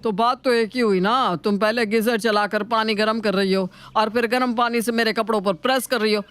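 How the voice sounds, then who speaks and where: A man's voice: high-pitched, fairly even intonation, a little fast, a bit bright, clear, resonant tone. A grumbling older Indian father-in-law from a cartoon family tale. He is thrifty and easily exasperated, and scolds in exclamations. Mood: irritated. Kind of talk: Hindi animated moral story acting.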